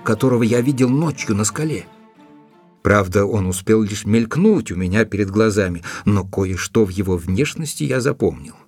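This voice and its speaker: A determined, level-headed gentleman recounting a mystery in an audio drama. His voice is low and a little husky, closely and drily recorded, and he speaks with deliberate care, posing questions as he goes. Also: dry recording, studio quality. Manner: measured, deliberate storytelling with rhetorical questions. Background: music behind the voice.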